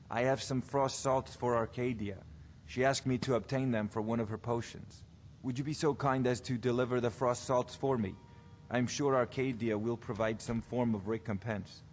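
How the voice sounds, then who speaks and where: Male actor as condescending fantasy game court wizard: mid-pitched, formal, scholarly, faint noise behind. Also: close to an everyday voice. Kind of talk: scripted video game dialogue.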